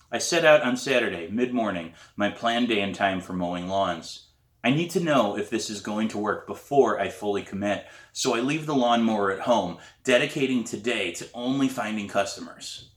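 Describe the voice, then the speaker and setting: Bright-voiced man reading a story. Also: mid-range voice, echoey room. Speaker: author reading his own novel aloud. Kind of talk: expressive audiobook-style narration.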